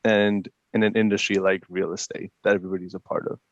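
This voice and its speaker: A man's voice: low voice, level tone, fast, no echo. A young man from Pakistan, a division manager, telling his story confidently over a video call. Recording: dry, dull video call audio.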